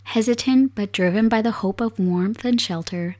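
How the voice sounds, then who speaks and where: A woman hosting a podcast, her voice raspy and hoarse from a cold, chatting casually and reading a story aloud in a soft, unhurried way from her desk at home. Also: medium pitch. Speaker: podcast host. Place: home desk set up for streaming.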